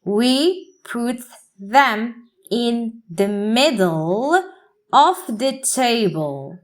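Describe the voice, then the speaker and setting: A woman English teacher reading a practice passage aloud for learners, enunciating deliberately word by word. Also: fairly high pitch, lively intonation.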